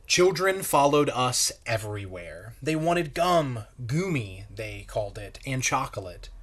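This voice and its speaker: A man, a pastor, reading a children's story aloud in a measured, expressive way. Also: medium pitch.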